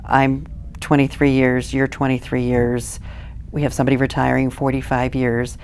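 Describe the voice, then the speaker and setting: A woman's voice: very deep voice, flat delivery, moderate pace, a little mellow, a little hiss or hum. A woman in her forties asking conversational questions as an interviewer. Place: small indoor office lounge.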